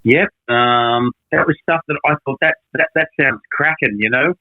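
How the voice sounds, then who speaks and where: A man's voice: mid-range voice, moderate pace, dry recording. A man, a guitarist guest, speaking hesitantly in a casual interview over a phone line. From Australia. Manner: hesitant, repeats his words.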